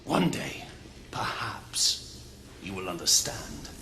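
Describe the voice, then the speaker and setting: A man playing a calm, self-righteous villain in a video game cutscene, speaking in a measured, sinister way. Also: on the low side, gravelly, slight background noise, exaggerated voice. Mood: solemn menace. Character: calm, sinister villain justifying himself to his brother.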